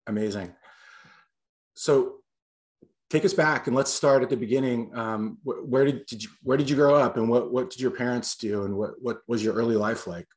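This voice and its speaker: A man from Los Angeles hosting a podcast interview, talking in a halting way with frequent pauses. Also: medium pitch. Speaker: podcast host.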